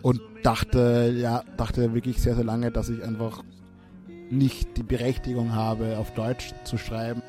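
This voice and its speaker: A musician, answering interview questions in a reflective way, with many restarts and fillers. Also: male voice, mid-range voice, completely flat delivery, unhurried, music in the background. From Austria. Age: 30s.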